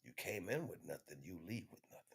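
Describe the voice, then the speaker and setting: A man delivering an impromptu spiritual monologue in an emphatic, repetitive, slangy street-preacher manner, with a husky voice. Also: low voice, very animated, fast. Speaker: spiritual teacher streaming online.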